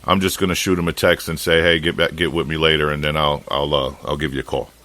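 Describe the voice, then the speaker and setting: A man's voice: extremely low pitch, flat delivery, fast talker, bright, forceful tone, no echo. An American man talking casually on a phone call, explaining things in an informal, rambling way. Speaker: fish shop owner and podcast host.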